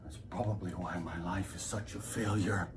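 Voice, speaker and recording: An actor playing a nervous, henpecked husband who rambles and pities himself through a theatrical comic lecture monologue. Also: male voice, fairly high pitch, very animated, fairly quick. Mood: gloomy. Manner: digressive, self-pitying, exclaiming then trailing off. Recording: roomy, echoing, distant mic with background noise.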